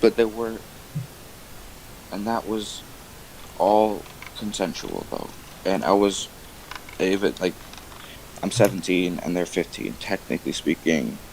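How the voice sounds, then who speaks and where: A young man in halting, defensive conversation, repeating himself and trailing off, on an archived voice call. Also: low-pitched, lively intonation, vocal fry, a little mellow, slight background noise, poor audio.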